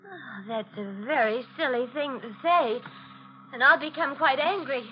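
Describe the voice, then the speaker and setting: A woman's voice: high-pitched, unhurried. A woman acting a beautiful, insane young murderess, childlike and volatile, in an old radio thriller. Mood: angry, agitated. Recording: dull, noisy old radio recording.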